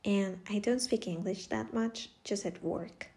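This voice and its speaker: Slow-talking Costa Rican-born woman podcaster. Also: mid-range voice, vocal fry, mellow. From Costa Rica. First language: Spanish. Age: 20s. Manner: unhurried, chatty, often laughing and restarting. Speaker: podcast host. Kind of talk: casual solo podcast intro.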